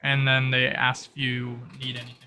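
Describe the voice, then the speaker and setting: Guy game master, bright forward voice, explains stuff haltingly, some background noise. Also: mid-range voice, monotone. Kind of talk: improvised narration of a tabletop roleplay.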